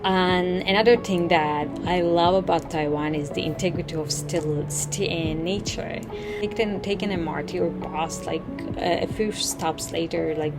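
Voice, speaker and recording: A woman's voice: on the low side, fairly even intonation, moderate pace, over loud music. A woman from Turkey, a PhD student, talking eagerly about her life abroad with many fillers. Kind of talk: casual testimonial.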